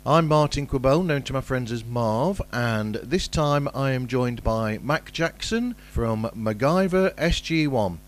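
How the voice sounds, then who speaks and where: British man, podcast host, medium pitch, casual chat, hesitant roundabout phrasing. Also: expressive, unhurried, hardly any pauses.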